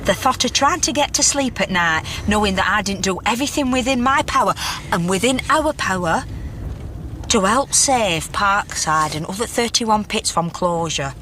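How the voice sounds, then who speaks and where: A woman's voice: mid-range voice, animated, normal speed, pushed and bright, slight background noise. A woman playing a determined middle-aged miner's wife with a Northern English accent in a radio drama, earnestly rallying her friends. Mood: earnest, urging.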